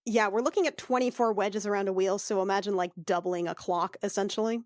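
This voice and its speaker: A woman's voice: mid-range voice, steady tone, fast talker, dry recording. Dry-recorded woman chatting as a player. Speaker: player in a tabletop role-playing podcast. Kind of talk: casual game chat.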